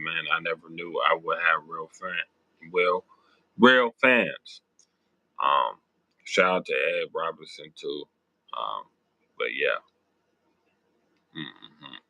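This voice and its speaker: A young man with a sick, hoarse, raspy voice, rambling through casual sports talk with lots of ums and slang. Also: bright, forceful tone, dry recording, rough recording. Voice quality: deep raspy voice. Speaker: sports podcast host.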